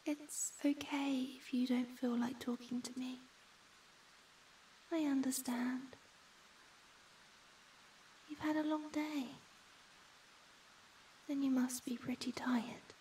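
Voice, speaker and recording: A woman voicing a shy, gentle young ghost in a soft, breathy whisper for an ASMR comfort roleplay. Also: high-pitched, steady tone, far from the mic, slight background noise, poor audio. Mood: tender, soothing. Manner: slow, tender, hesitant.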